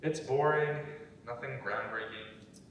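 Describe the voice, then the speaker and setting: A man's voice: slow, fairly bright tone. A man acting a weary, disillusioned young student in a staged dramatic reading, recorded from far off in an echoey room. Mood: weary, downcast. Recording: echoey room, far from mic, rumbly noise.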